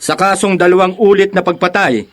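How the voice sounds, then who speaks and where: An actor playing a stern, commanding male police officer in a Filipino radio drama, forceful and official. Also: high voice, steady tone, brisk, natural voice.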